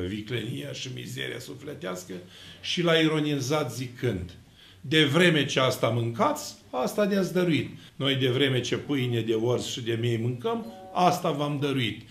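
A middle-aged man from Romania, a priest, giving an emphatic sermon with slow, stressed words. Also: mid-range voice, vocal fry. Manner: emphatic preaching with slow, stressed words and lively hand gestures. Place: church with icons, indoors. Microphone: fixed camera, mid shot. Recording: echoey church room.

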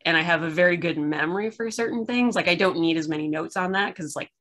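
A woman's voice: lowish voice, fast talker, fairly bright tone. A middle-aged woman hosting a casual, unscripted chat over a video call, rambling with self-deprecating humour. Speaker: host. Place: room at home, video call.

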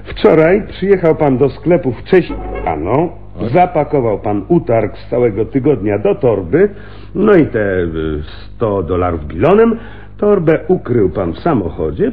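An actor playing a probing homicide lieutenant in a radio crime drama, with drawling, conversational interjections. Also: male voice, medium pitch, huge pitch swings, normal speed. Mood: confident. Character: wry, probing male police homicide lieutenant. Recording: muffled, band-limited radio recording.

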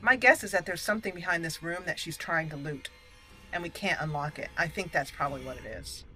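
A woman with a bright voice gives casual commentary over a video game. She is chatty and expressive, talking to the characters as she plays. Faint game music runs behind her. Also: lowish voice, brisk, low-quality recording. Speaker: gaming channel host. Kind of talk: casual let's play commentary.

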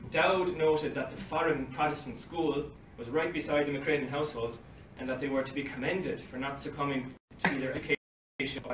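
A man's voice: fairly high pitch, a little slow. A young man giving a conference talk at a lectern, reading from notes with dry asides, recorded from across a library meeting room. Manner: reads from paper at a lectern, dry asides with short laughs. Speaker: conference speaker. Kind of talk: academic talk read from notes. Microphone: far phone camera across the room. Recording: roomy, distant, faint hiss, livestream quality.

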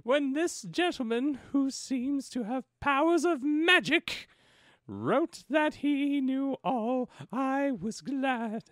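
A man voicing a distressed mother in a comedic detective story reading, with slow, dramatic delivery. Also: extremely high pitch, lively intonation, fairly bright tone, no echo, studio quality, pitched above the performer's own voice, full character voice. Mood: melodramatic.

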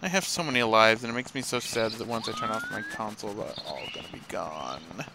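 A man's voice: on the low side, expressive, a little fast, background noise. A man giving casual, playful let's play commentary, often talking to the characters in his video game. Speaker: gaming video host. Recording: game music behind the voice. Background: video game music.

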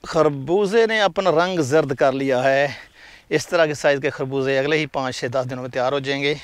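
A man's voice: mid-range voice, normal speed, clean tone, no echo. A man giving a casual walking tour of his farm outdoors, talking to viewers as he shows crops and animals. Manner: chatty, shows things to viewers as he walks. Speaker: farm vlogger. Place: outdoors on a farm among fields.

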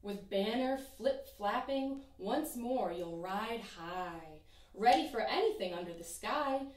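Slow, expressive woman reading a picture book. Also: medium pitch, far from the mic. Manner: expressive, sing-song rhyming delivery. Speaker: teacher reading aloud. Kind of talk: children's picture book read-aloud. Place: small room in front of a painted backdrop. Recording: echoey room, voice from off-mic.

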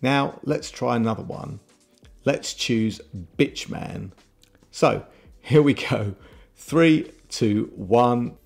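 Middle-aged man, very gravelly tech presenter. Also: medium pitch, takes it slowly. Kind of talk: scripted casual product review. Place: home room with shelves, indoors. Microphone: desk microphone close in front. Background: background music.

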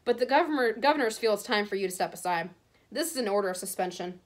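A woman voicing a haughty aristocratic male villain in a storybook, smooth, condescending and falsely polite. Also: mid-range voice, fast talker, close to an everyday voice. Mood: smug. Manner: oily politeness, condescending drawl.

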